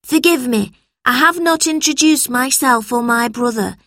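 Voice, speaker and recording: A woman's voice: sits high, moderate pace, a bit bright, dry recording, studio quality, pitched above the performer's own voice. A woman voicing a polite, earnest older boy in a storybook reading. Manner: polite, formal.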